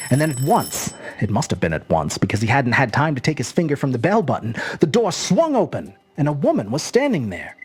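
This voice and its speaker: A storyteller narrating a scripted short story in an expressive, measured, dramatic manner. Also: mid-range voice, breathy edge. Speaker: storyteller, host.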